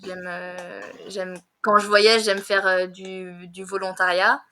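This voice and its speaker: A young woman tutoring French on a video call. She talks fast, laughs a lot and fills her speech with hesitations. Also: mid-range voice, little pitch movement, crowd noise. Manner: fast-talking, giggly, many fillers. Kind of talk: casual conversation lesson.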